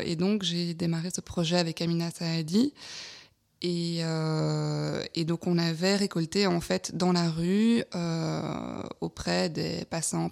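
A woman artist speaks as a guest on a radio programme, measured and pausing on fillers. Her voice is lowish, flat in melody and gravelly with vocal fry. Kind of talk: live radio interview.

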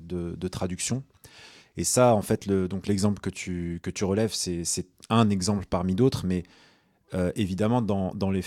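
A man from Tunisia, speaking as a guest on a radio talk show, often pausing and restarting his sentences. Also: deep voice, a little mellow, no room sound, studio quality. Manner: hesitant, many restarts and fillers. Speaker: guest activist. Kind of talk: radio discussion.

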